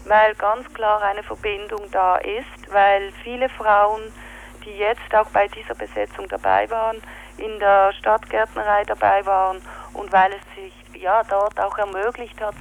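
A woman's voice: medium pitch, rough recording. A woman answering interview questions, hesitant and searching for words as she explains. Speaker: activist interviewed by phone.

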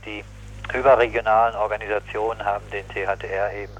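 A man speaking as an activist in a spontaneous political interview, critical and opinionated, halting and correcting himself as he goes. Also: on the low side, level tone. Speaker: anti-nuclear activist interviewee. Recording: muffled, lo-fi 1980s radio recording.

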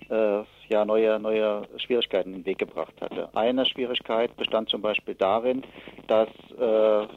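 A man giving spontaneous political analysis as an expert interview guest, speaking haltingly with many filled pauses and rephrasings. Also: mid-range voice, gravelly, dry recording. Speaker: expert guest from an advocacy association. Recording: dull, lo-fi radio interview sound.

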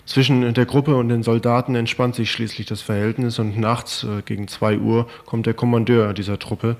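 A man's voice: mid-range voice, level tone, normal speed, a little mellow. A man narrating a scripted news report as a radio reporter.

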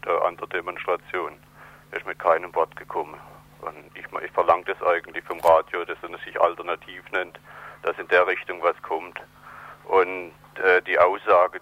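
Man, low pitch, phone-in caller, critical, argumentative, dull lo-fi phone sound. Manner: blunt, argumentative. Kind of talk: critical opinion on a live call. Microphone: telephone.

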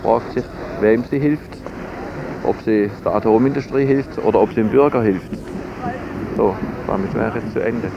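A man's voice: on the low side, normal speed, vocal fry. A man speaking as a spokesman for an environmental group, interviewed outdoors on a bridge. He talks hesitantly, with many filler sounds. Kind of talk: interview at a protest. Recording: dull field recording with loud traffic.

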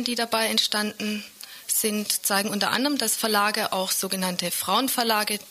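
A woman's voice: mid-range voice, sharp tone. A woman hosting a radio show from a studio, reading a prepared introduction and overview. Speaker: radio host. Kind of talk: scripted programme introduction and topic overview.

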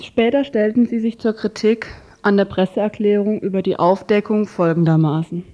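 A woman's voice: mid-range voice, fairly even intonation, moderate pace, mellow. Woman reading a radio report off a script, small stumbles, muffled lo-fi sound. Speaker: radio presenter. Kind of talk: scripted news report read aloud. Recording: dull lo-fi radio recording.

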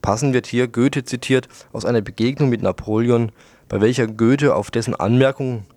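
A man's voice: mid-range voice, normal speed, a little mellow, no room sound. A man reading a scripted book review on the radio in a wordy, literary manner.

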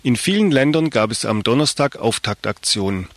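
A man's voice: mid-range voice, expressive, moderate pace, no room sound. Male news presenter, dry close sound. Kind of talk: scripted news narration.

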